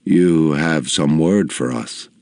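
Man voicing grave old camp elder, soft and deep, slow measured delivery. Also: no room sound, heavily acted. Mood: solemn. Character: old bearded male camp leader, soft and deep, solemn.

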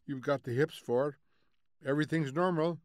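A man's voice: on the high side, moderate pace, dry recording, pitched above the performer's own voice, close to an everyday voice. A flighty society housewife, acted out by a male audiobook reader, prattles with complacent ease. The delivery is gossipy and smug, in a dry, close recording. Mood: smug, chatty. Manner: gossipy, self-satisfied prattle. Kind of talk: audiobook character voice.

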